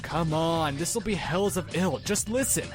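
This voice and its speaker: An actor playing a cool, cocky teenage amateur rapper with a laid-back, slangy manner in a web audio drama. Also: male voice, a little high, expressive, unhurried, faint music behind. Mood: nonchalant.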